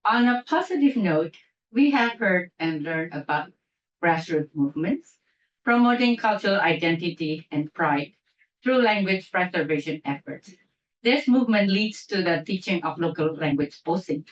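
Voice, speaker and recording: A woman's voice: fairly low pitch, expressive, normal speed. Woman giving closing remarks in an echoey hall. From Indonesia. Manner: careful, with frequent restarts on long words. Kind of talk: prepared closing speech read aloud. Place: lecture hall. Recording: echoey lecture hall, distant mic, muffled.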